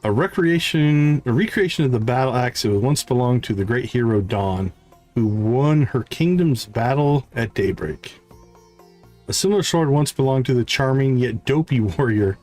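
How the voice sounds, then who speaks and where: A man livestreaming a game, thinking aloud in casual commentary and reading item stats in a deliberate, musing way. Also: mid-range voice, expressive, a little mellow, soft background music.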